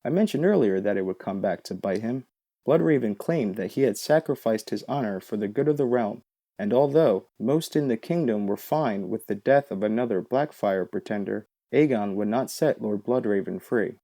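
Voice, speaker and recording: A man's voice: fairly low pitch, animated, normal speed, warm tone. A man hosting a video with scripted explainer narration. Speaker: video host.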